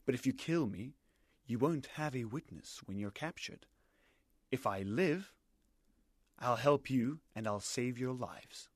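A man's voice: medium pitch, animated, unhurried, long pauses, no room sound, clean studio sound. A man voicing a brave, defiant boy with an earnest, declarative manner in a pirate adventure audiobook. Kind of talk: dramatized audiobook character voice.

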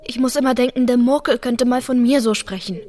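Actress as a tender, earnest young wife. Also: female voice, on the high side, fairly even intonation, a little fast, lightly acted. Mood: gently reproachful. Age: young adult. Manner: gentle, earnest. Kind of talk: German radio drama acting.

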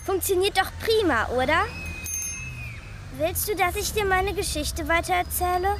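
Radio drama: small chatty girl, very high pitch, childlike and chillingly indifferent, clear music bed. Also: normal speed. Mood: eager, friendly. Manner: matter-of-fact, childlike storytelling. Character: chatty little girl, eerily indifferent to horror.

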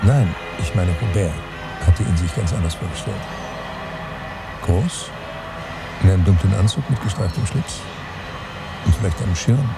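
An actor playing a writer's opinionated inner voice in a literary radio drama, clipped and enumerating as he deliberates. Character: brisk, opinionated male inner voice of a writer, listing and correcting. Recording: loud rumbling noise behind voice.

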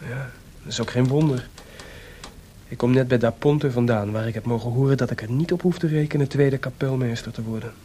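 An actor playing an impetuous, wry and defiant composer in a Dutch radio drama. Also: male voice, a little fast, warm tone, natural voice. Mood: musing, wry. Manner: wry, informal, headstrong. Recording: clearly noisy, rumbling archive radio recording.

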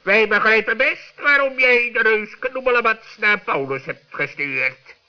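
A man's voice: high voice, animated, measured pace. A man voicing a stern, knowing talking bird in a children's radio play. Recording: muffled old radio recording.

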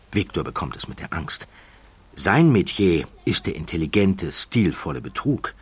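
Deep, animated male audiobook narrator. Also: husky. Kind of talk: scripted dramatic storytelling narration. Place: dry studio.

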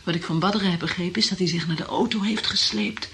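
Low-voiced scornful schemer. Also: female voice, steady tone, fast talker, a bit bright, a little hiss or hum. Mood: scornful scheming. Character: scornful, vengeful scheming woman. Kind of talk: radio drama acting.

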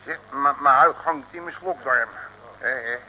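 An actor playing a cheeky, unimpressed detective in a comic radio serial, talking in grumbling, irreverent slang. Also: male voice, medium pitch, unhurried, pushed and bright. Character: cheeky, unimpressed wisecracking Dutch private detective. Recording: noisy old radio recording.

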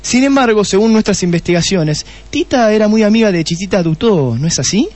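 Man acting high-voiced, probing Argentine police detective. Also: animated, darkish tone. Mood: probing, insinuating. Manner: deliberate, repeats answers back pointedly. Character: shrewd male police detective, testy, methodical, probing. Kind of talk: Spanish-language radio play acting.

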